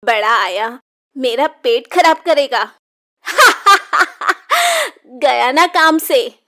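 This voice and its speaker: A woman's voice: high-pitched, sing-song, very slow, bright, forceful tone, heavily acted. A woman voicing a vengeful young witch in a children's cartoon story, with a mocking, cackling manner. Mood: gloating, taunting. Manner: taunting, cackling.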